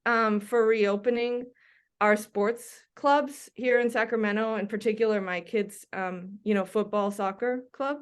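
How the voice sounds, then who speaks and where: Chatty woman with a smooth voice. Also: medium pitch, measured pace. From Denmark. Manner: chatty, many ums and restarts. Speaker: guest, doctor and researcher. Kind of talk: conversational interview.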